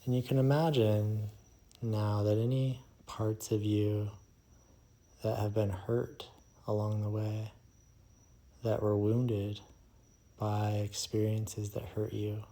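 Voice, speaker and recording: Slow, low, mellow male meditation guide. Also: little pitch movement. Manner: slow, soothing, hushed delivery with long pauses.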